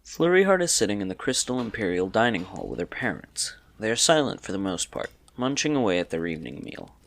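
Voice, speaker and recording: Animated male storybook narrator, lowish voice. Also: brisk, dry recording. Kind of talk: scripted dramatic storybook narration.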